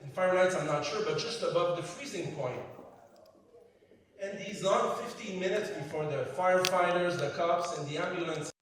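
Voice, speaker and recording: A man's voice: high-pitched, normal speed, fairly bright tone, a little hiss or hum. French Canadian man in an echoing hall. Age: 50s. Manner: emphatic, short repeated phrases. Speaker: keynote speaker. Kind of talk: conference speech. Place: large conference hall. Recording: very echoey hall, speaker far from mic.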